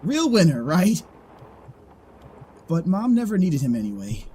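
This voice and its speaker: An actor playing an easygoing joker with a sincere heart in a fan audio drama, talking in a casual, chatty, expressive way. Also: medium pitch, a little slow, a little hiss or hum. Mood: fond, wry.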